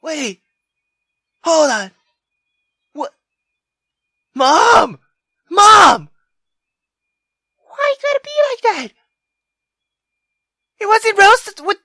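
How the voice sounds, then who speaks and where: A woman reading a visual novel aloud as a let's player, in an expressive, dramatic manner. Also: very high-pitched, very slow, pauses often, dry recording, studio quality.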